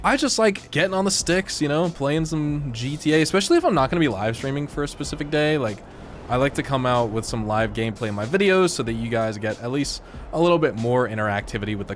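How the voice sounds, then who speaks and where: A young man talks loosely over gameplay at a middle pitch, his voice a little coarse and congested from a cold. He rambles, circles back and fills gaps with "like" and "you know." He sounds relaxed and chatty. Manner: rambling, conversational, many fillers. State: slightly hoarse and congested. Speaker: gaming YouTuber. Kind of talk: casual commentary over gameplay.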